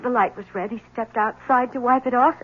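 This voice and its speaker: An actress playing an anxious, fragile, devoted young wife in a 1970s radio mystery, speaking in a hesitant, pleading way. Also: female voice, a little high, steady tone, fairly quick, husky. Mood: anxious, bewildered. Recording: tinny, band-limited old radio broadcast.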